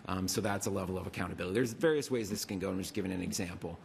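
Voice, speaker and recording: Hoarse man with a very low voice, quick, halting delivery with fillers, committee presenter in a meeting room. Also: a little hiss or hum. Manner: fast, halting with many fillers and restarts. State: hoarse from a cold. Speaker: city attorney's office lawyer. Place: city council meeting room.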